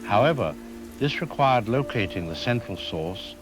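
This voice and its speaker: A man playing a grave academic historian in a radio drama, speaking in a formal, measured, lecturing way. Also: on the low side, lively intonation, music in the background, lightly acted. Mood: grave, warning. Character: grave male academic historian expert on vampire folklore. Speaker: expert interview guest.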